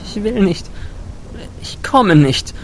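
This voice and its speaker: A man's voice: high voice, lively intonation, slow pace, creaky, slight background noise, pitched above the performer's own voice, exaggerated voice, low-quality recording. A man voicing a frightened young girl in a story, pleading and timid yet defiant, with a thin, fragile voice. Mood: scared defiance.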